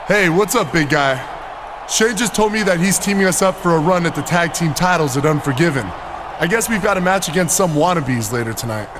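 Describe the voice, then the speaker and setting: Cocky high-pitched muscleman wrestler played by a man. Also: animated, fairly quick, crowd noise, noisy background, lightly acted. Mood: smug, breezy. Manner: boastful, smug, showy. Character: cocky vain male muscleman wrestler, self-styled masterpiece. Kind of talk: video game cut scene acting.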